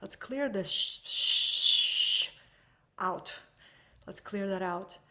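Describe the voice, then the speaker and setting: A woman's voice: mid-range voice, extremely slow, pushed and bright. Russian-accented woman teaching a webinar. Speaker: energy healing teacher.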